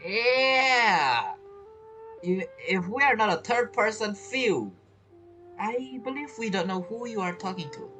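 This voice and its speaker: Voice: medium pitch, expressive, takes it slowly. A game streamer giving casual, rambling and playful commentary while playing. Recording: faint game music behind the voice.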